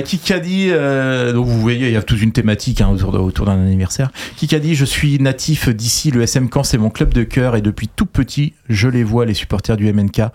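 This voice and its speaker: A man's voice: medium pitch, darkish tone. Male talk-show quizmaster, mid-range voice, informal and joking, teases the panel. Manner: teasing, joking. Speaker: host of a quiz segment on a football talk show. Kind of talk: casual banter, quizzing with read-out quotes.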